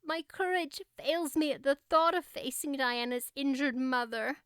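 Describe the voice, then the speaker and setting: A woman's voice: high voice, unhurried, fairly bright tone, no room sound, studio quality, voice pushed up. A woman voicing an earnest, melodramatic young girl in an audiobook, effusive and plaintive in manner. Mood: pleading. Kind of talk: audiobook character acting.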